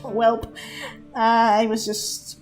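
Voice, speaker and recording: A woman's voice: fairly high pitch, monotone, very slow, music in the background. A woman streaming a game, thinking aloud in a hesitant, casual way and laughing often. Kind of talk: gameplay commentary.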